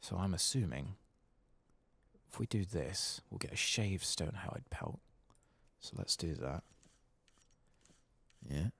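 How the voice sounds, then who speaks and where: Male ASMR game streamer: soft-spoken, slow, heavy vocal fry, hesitant muttering to himself while playing. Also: sits low, expressive, no echo. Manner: murmuring, musing aloud to himself, hesitant. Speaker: gaming channel host.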